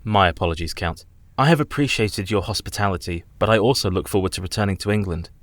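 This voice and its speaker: A man plays a polite young solicitor in a radio drama. The voice sits a little low, with careful, formal diction and an earnest manner. The recording is dry and close. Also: fast talker, no echo, lightly acted. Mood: deferential. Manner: formal, precise, courteous. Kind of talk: radio play acting.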